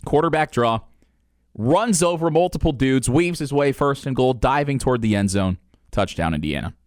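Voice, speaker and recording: A man's voice: mid-range voice, dry recording, clean studio sound. A man hosting sports talk, delivering an opinionated, argumentative monologue and repeating phrases for weight.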